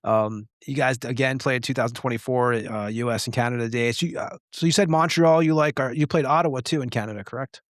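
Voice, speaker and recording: A man's voice: mid-range voice, steady tone, fairly quick, few pauses, no echo, studio quality. A man hosting a casual music interview, asking informal, rambling questions. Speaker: podcast host interviewing a band.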